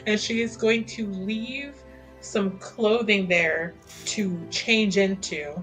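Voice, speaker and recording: Woman with a bright forceful voice narrating her rpg character, casual and sweary, music behind. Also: medium pitch, takes it slowly, rough recording. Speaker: tabletop roleplaying player. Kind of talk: casual improvised tabletop game chat.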